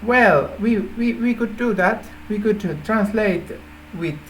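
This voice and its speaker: A native Finnish man speaking English with a Finnish accent, informally explaining an idea in halting phrases with repeated words. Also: sits high, a little hiss or hum, rough recording. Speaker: app developer presenting a feature idea.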